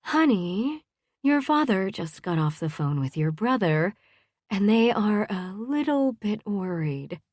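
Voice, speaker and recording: A woman voicing an affectionate, teasing mother with a lilting, gently chiding manner, in an audiobook. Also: mid-range voice, big pitch swings, slow, dry recording, clean studio sound, pitched above the performer's own voice. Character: bright, affectionate, teasing mother of grown daughter.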